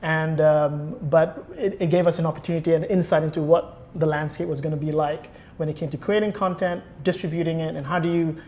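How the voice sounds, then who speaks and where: A man answers as a guest in a conversational interview. His voice sits a little high for a man, and he explains things in an articulate way. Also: steady tone, brisk, few pauses, a little mellow, a little hiss or hum.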